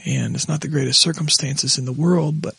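A middle-aged pastor, hoarse and raspy tonight, talking haltingly with his wife on their podcast. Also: fairly high pitch, steady tone, a bit bright, no echo. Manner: halting, restarts often when moved. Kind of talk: casual conversation with his wife.

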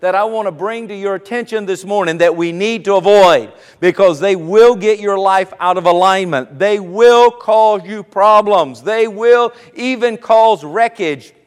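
Storytelling pastor in a reverberant hall. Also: male voice, high voice, clear, resonant tone. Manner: storytelling, conversational, slows for emphasis. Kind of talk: sermon with personal story.

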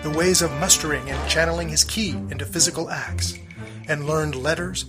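A man's voice: fairly high pitch, fairly even intonation, moderate pace, over music. A middle-aged man hosting a podcast, delivering an upbeat intro and reading character backstories in a theatrical way. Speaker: podcast host.

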